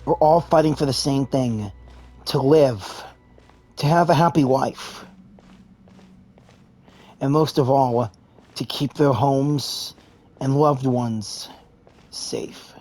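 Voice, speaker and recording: A person reading video game dialogue aloud in an earnest, deliberate manner. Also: mid-range voice, mellow. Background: music.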